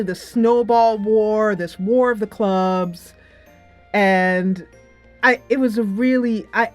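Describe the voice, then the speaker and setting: A woman's voice: medium pitch, a little mellow. Music plays behind a woman with a mid-range voice. She talks as the host of a casual game review. Her delivery is chatty and emphatic, moving loosely from thought to thought.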